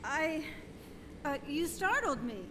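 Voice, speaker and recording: An actor playing an earnest, homesick human space traveller in a live radio play. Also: male voice, extremely high pitch, big pitch swings, slow, exaggerated voice. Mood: startled. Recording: noisy, reverberant hall.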